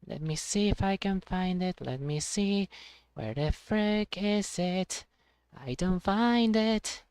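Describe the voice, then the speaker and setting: A woman's voice: medium pitch, slow pace, a little mellow, clean tone, no room sound. A woman chatting casually with her stream chat, rambling and self-deprecating, laughing often and restarting her sentences. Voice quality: trained, voice she has been working on.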